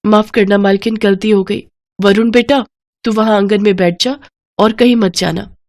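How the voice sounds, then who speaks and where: Woman as poor maid, humble, gentle mother, meek, deferential, middle pitch, storybook character. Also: fairly even intonation, normal speed, dry recording, lightly acted. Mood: meek apologetic.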